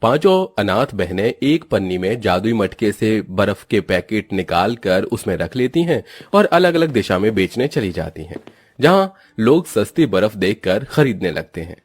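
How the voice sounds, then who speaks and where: A man's voice: on the low side, very animated, normal speed, no echo. A man giving scripted narration as the storyteller of a Hindi cartoon tale.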